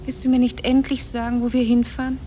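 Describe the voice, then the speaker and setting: An actress playing a distraught, accusing wife in a German radio play from around 1960, agitated and insistent. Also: female voice, a little high, monotone, fast, warm tone, close to an everyday voice. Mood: anxious, pleading. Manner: agitated, insistent, pleading, emphatic. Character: distraught, accusing wife who discovers her husband's deception. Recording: noisy old radio drama cassette transfer.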